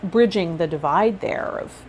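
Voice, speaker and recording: A woman vlogging casually and reflectively to her viewers, rambling a little and pausing mid-thought, with some guided meditation cues. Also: lowish voice, darkish tone, slight background noise. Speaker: host of a tarot vlog and healer.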